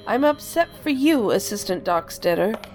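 A woman's voice: medium pitch, sing-song, normal speed, a little mellow, soft background music, natural voice. A woman playing a stern, cold, commanding deputy director in a science fiction audio drama. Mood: icy, cutting. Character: stern, imperious female deputy director of a federal agency.

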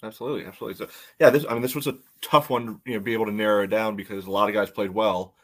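Man, lowish pitch, podcast co-host, casual sports analysis, halting, heavy on filler phrases. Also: steady tone, fairly bright tone. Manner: halting, peppered with "you know".

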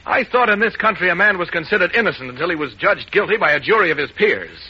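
An actor playing an earnest, determined reporter, urgent and insistent, in 1940s radio style. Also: male voice, high-pitched, very animated, quick pace, husky, exaggerated voice. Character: earnest, determined male newspaper reporter standing his ground. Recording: muffled old radio drama recording.

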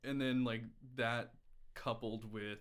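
An American man speaking as a podcast guest in casual conversation, with halting phrasing full of "like". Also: mid-range voice, fairly even intonation, fairly bright tone.

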